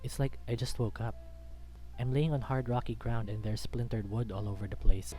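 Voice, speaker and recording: A man's voice: medium pitch, fairly even intonation, moderate pace, mellow. A man reading a first-person story aloud as a dramatic narrator. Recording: background music under the voice. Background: music.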